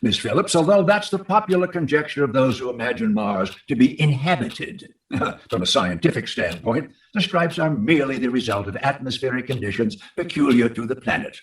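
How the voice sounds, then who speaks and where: Learned male professor with lively, swooping intonation , acted. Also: medium pitch, a little fast, close to an everyday voice. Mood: assured, dismissive. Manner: donnish, dismissive, considered phrasing. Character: learned, unruffled, skeptical male astronomy professor. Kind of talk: old-time radio drama interview.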